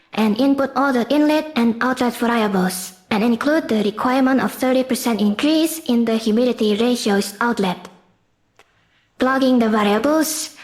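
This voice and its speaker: A woman with a fairly high voice narrates a technical lesson from a script. She is formal and methodical, setting out each step in order like a student presenting a project. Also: a little mellow. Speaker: presenter of an engineering class project.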